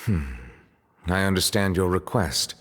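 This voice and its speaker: An actor playing a dignified, wary high judge in a video game, speaking formally, deliberately and with reserve. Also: male voice, a bit bright. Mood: skeptical, measured. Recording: long hall-like reverb.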